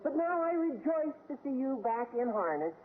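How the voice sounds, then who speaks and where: A man playing an earnest, effusive teenage schoolboy with a squeaky, cracking voice in an old radio sitcom. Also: very animated, normal speed. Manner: effusive, pompous wording, eager. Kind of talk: 1940s-50s radio sitcom acting. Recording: tinny vintage radio with faint noise.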